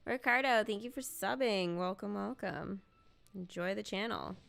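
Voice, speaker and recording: A woman's voice: medium pitch, moderate pace, vocal fry, fairly bright tone. A woman streamer chatting casually and thinking aloud while she plays a game live.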